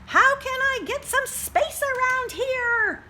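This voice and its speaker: Woman voicing a high, earnest little bunny scientist. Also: slow, sharp tone, slight background noise, heavily acted. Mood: exasperated. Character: serious young female rabbit scientist, squished and determined.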